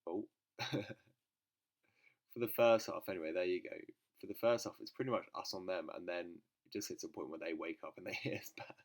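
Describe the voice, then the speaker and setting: A man's voice: low-pitched, a little fast. A British man giving casual gameplay commentary in a nasal voice, congested with a cold, in an informal, hesitant manner. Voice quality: nasal from a blocked nose. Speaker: gaming channel host.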